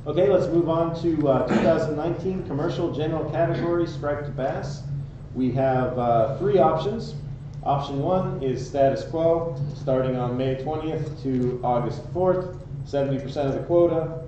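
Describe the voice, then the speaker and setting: A man's voice: fairly high pitch, moderate pace, few pauses. A man moderating a public fisheries hearing, reading proposals aloud with many fillers and calling on speakers. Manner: businesslike, reads out rules with fillers. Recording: echoey room, distant mic, muffled, noisy.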